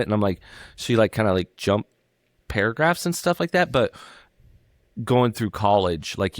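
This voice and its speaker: A man's voice: lowish voice, dry recording, studio quality. A young adult man co-hosting a podcast, rambling in casual chat with plenty of fillers and offhand swearing. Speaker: podcast co-host.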